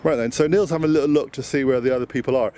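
A man talks quickly in a casual, bantering way, like a host chatting with a guest outdoors. His pitch sits in the middle, and he likes to tease. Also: steady tone, warm tone. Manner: bantering, teasing. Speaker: interviewer and golf vlog host. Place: outdoors on a golf course.

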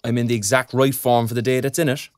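An actor playing a frank, sardonic young Irish graduate in an audio drama, speaking colloquially. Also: male voice, mid-range voice, little pitch movement, fast talker, no echo, lightly acted. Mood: indignant. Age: young adult. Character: young underemployed arts graduate, frank and wry. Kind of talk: naturalistic audio drama dialogue.

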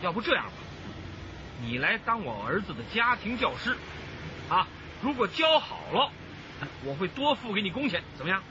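A man's voice: high voice, sing-song, moderate pace. An actor playing a hearty, boastful building foreman in a radio drama, with an expansive, folksy, self-assured manner. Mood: confiding, opinionated. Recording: dull, noisy radio drama soundtrack.

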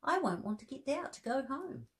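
A woman voicing a small, eager young teddy bear in a storybook, pitched higher than her narration. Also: very animated, moderate pace. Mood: excited.

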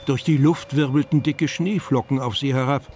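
A man's voice: medium pitch, fairly even intonation, moderate pace, a little mellow, natural voice. A man narrating a children's fantasy radio play as a storyteller. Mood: calm storytelling. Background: music.

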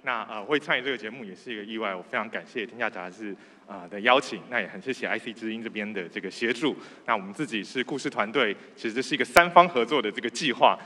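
A man's voice: fairly low pitch, fast talker, bright, forceful tone. A man around forty with a Taiwanese Mandarin accent, a programme presenter giving an acceptance speech. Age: 30s-40s. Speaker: award-winning programme presenter. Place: large indoor theatre stage. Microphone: stand microphone, speaker masked. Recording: reverberant theatre stage sound.

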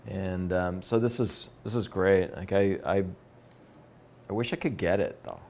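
A man's voice: sits low, measured pace, a little mellow, slight background noise. A man in his fifties, enthusiastically leading a beer tasting seminar in a brewery room, picked up by a camera from across the room. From Canada. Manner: conversational, enthusiastic, gestures while talking. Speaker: beer educator.

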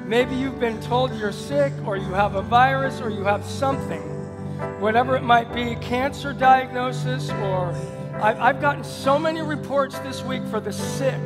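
A man speaking as a pastor, giving an altar call in an earnest, halting, exhorting way in a large church. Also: very high voice. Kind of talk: church altar call over worship music. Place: large church auditorium, through the PA. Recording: loud worship music behind the voice. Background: loud worship music.

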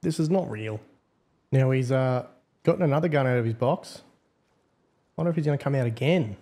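A man's voice: mid-range voice, a little fast, mellow. A man giving casual, slangy, wry gameplay commentary. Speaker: host, game server admin.

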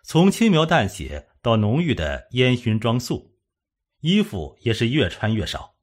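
A man's voice: mid-range voice, sing-song, measured pace. A man narrating a suspense audiobook in a dramatic, solemn storytelling manner.

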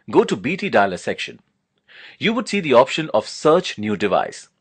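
A man's voice: mid-range voice, fairly bright tone, slightly rough. A man narrating a scripted product demonstration, explaining things step by step in an instructional manner.

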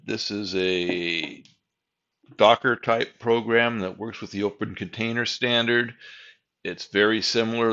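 An older man with a low voice narrates a software tutorial over his screen. He explains each step plainly and methodically. The recording sounds a bit dull and lo-fi. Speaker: tech tutorial host.

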